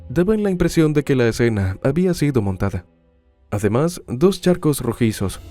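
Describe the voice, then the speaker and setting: Man, scripted crime storytelling, dramatic and measured, lively intonation, mellow voice, soft music behind. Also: mid-range voice. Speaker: true crime narrator and host. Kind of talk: scripted true crime narration.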